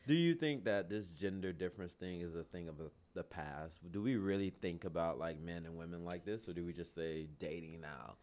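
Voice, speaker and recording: A man's voice: sits low, fairly quick, few pauses, darkish tone. A man hosting a casual panel chat, asking the guests probing questions.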